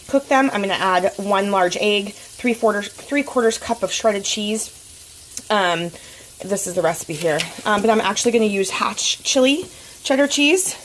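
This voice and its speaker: A woman's voice: medium pitch, poor audio. A woman with a cold talks her way through a casual cooking vlog in her home kitchen. She rambles and fills gaps with ums. Faint frying noise sits behind her voice. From Oregon. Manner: chatty, rambling with many ums. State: has a cold. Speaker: fitness vlogger.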